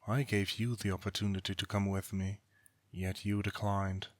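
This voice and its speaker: A man playing a disdainful god in an audio drama, speaking in formal, haughty, measured phrases. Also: fairly low pitch, flat delivery, no room sound, natural voice. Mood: indignant. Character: proud, cold, disdainful male god, estranged brother. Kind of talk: scripted audio roleplay drama acting.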